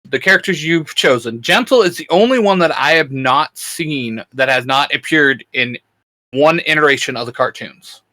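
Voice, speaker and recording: A man with a bright, somewhat high voice chats casually as a co-host. He thinks aloud and checks himself as he goes. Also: expressive, moderate pace, rough recording. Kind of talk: casual chat.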